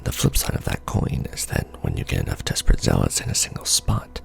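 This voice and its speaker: A man performing an ASMR roleplay as a seasoned, wry secret-society official, talking softly and casually with a gravelly, breathy undertone. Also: rumbling low, huge pitch swings. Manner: wry, casual, unhurried explaining. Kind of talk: ASMR roleplay monologue, soft conversational briefing.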